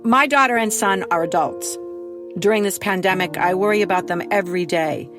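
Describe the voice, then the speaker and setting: A middle-aged woman, an author, earnestly introducing an audio drama episode with a measured, scripted delivery. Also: medium pitch. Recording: music under the voice.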